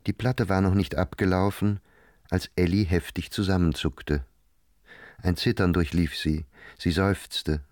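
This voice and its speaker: A man's voice: deep voice, steady tone, unhurried, darkish tone, no room sound, clean studio sound. A man narrating a literary radio drama.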